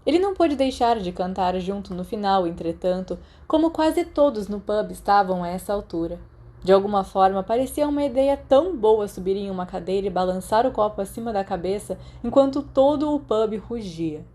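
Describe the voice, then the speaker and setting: Brazilian lady narrating an audiobook, soft dark mellow tone, mid pitch. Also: expressive, moderate pace. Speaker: audiobook narrator of a fan fiction podcast. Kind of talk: scripted narration, storybook reading.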